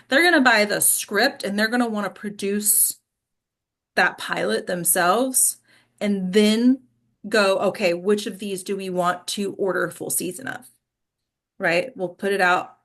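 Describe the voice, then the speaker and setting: A woman's voice: mid-range voice, fairly bright tone. A woman acting coach teaching in a casual question-and-answer style, direct and instructive, often checking understanding with short tag questions.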